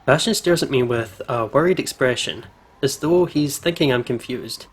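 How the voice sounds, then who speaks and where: A man's voice: medium pitch, moderate pace, slightly rough. A man reading a visual novel aloud as a let's play host, reacting expressively, with music behind him. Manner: expressive, emotionally invested reactions.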